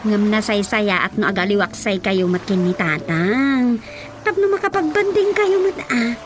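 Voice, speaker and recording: A woman's voice: medium pitch, animated, normal speed, over music, lightly acted. A woman with an Ilocano accent playing a generous grown daughter in a radio drama, coaxing and persuasive.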